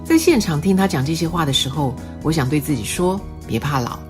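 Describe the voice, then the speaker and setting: A woman's voice: sits low, lively intonation, brisk. A woman in her sixties narrating a reflective, heartfelt voice-over. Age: 60s. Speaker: host. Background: soft music.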